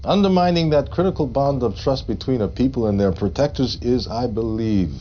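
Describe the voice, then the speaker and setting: A hawkish male wartime leader acted by a man from a game cutscene, making his points with emphatic deliberation. His voice is mid-pitched. The audio is slightly dull with faint noise. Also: a little fast, close to an everyday voice. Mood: firm confidence. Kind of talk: live-action game cutscene acting.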